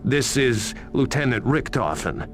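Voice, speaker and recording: A man's voice: medium pitch, lively intonation, measured pace, natural voice. An actor playing a sardonic male police detective questioning a suspect in a video game cutscene, with a wry delivery. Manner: wry, sarcastic.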